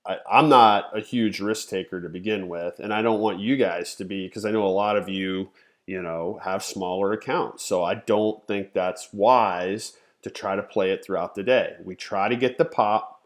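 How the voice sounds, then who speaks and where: A man's voice: deep voice, fairly even intonation, normal speed. A man in his thirties with a nasal, congested voice, teaching a live-stream chart lesson in a casual way that thinks aloud. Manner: conversational, thinks aloud, frequent "okay?" and "you know". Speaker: trading educator, live stream host.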